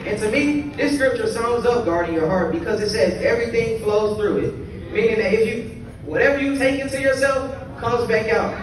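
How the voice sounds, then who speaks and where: A high-voiced teenage boy preaches earnestly from the pulpit, reading scripture and driving his points home in short phrases. He sounds far off and washed in the heavy echo of a huge sanctuary, captured on a phone from the pews with the congregation's murmur underneath. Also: moderate pace, crowd noise. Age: teens. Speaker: youth speaker. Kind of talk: youth sermon at a convention. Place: large church sanctuary. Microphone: pulpit mic filmed by a phone from the pews. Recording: roomy far-off phone recording in a large hall. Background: congregation ambience.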